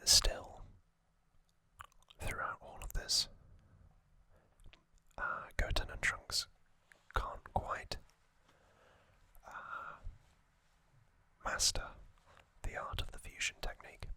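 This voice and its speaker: A man whispering trivia as an ASMR host into a close microphone, softly, with frequent ums and uhs. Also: slow, long pauses, no echo. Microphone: close sensitive mic.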